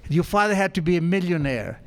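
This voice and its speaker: High-voiced older man with Argentine accent. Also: lively intonation, fast. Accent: Argentine Spanish. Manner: self-mocking, humorous, conversational. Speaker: recovery meeting speaker. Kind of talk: storytelling talk to an audience.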